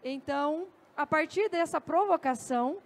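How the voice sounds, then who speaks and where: High-voiced Brazilian woman speaking on stage. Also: normal speed. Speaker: journalist and speaker. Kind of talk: conversational stage talk with anecdotes. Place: stage at an indoor festival. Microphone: handheld microphone.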